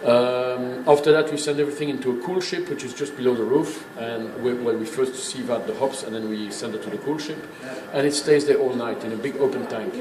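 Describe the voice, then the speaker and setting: A man's voice: medium pitch, fairly even intonation, brisk, hardly any pauses. A man working as a brewery tour guide, explaining things informally in an emphatic, opinionated, didactic way. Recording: echoey room, clear background noise.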